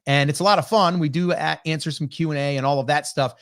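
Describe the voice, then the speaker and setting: A man in his forties hosting a casual livestream podcast, chatty and upbeat with loose fillers, his voice still slightly hoarse from a cold, speaking into a desk mic on a video call. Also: on the high side, steady tone, fast, a bit bright. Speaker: podcast host.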